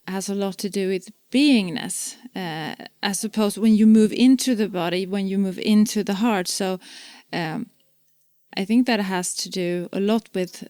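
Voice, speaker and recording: A woman hosting a yoga podcast, Swedish her first language, speaking calmly and thoughtfully while interviewing her guest. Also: mid-range voice, clear, resonant tone, dry recording, clean studio sound. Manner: gives frequent soft backchannel murmurs, calm and thoughtful. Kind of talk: casual interview chat.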